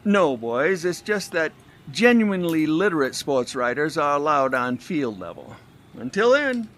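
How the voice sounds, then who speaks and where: Pompous veteran sportswriter, swooping delivery. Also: male voice, on the high side, very animated, unhurried, background noise. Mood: smug. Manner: pompous, sardonic. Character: pompous, snide old-fashioned male veteran sportswriter.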